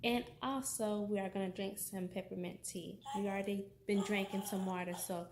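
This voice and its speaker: A woman's voice: medium pitch, fairly even intonation, moderate pace. A young woman, a mother talking casually and teaching her toddler with sing-song prompts, recorded outdoors in a park. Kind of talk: casual vlog and simple lesson to a small child. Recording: outdoor recording with background noise. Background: outdoor ambient noise.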